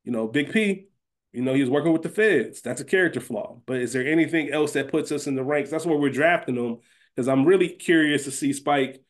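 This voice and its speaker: A man's voice: on the high side, fairly quick. Casual man co-hosting a podcast. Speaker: podcast co-host. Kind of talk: casual podcast discussion of a TV series.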